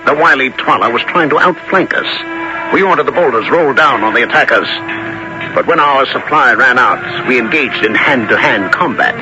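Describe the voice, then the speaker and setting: A man's voice: mid-range voice, normal speed, highs cut off, natural voice. An actor playing an earnest hunter-adventurer who recounts his own tale as a dramatic radio narrator. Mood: dramatic narration. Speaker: narrator and lead character. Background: music under the voice.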